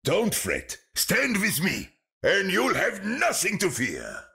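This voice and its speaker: An actor playing a big, brave old knight with a gravelly voice and a booming, jovial, chivalrous manner. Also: male voice, wildly swooping pitch, slow pace, pushed and bright, exaggerated voice. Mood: reassuring. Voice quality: raspy, gravelly.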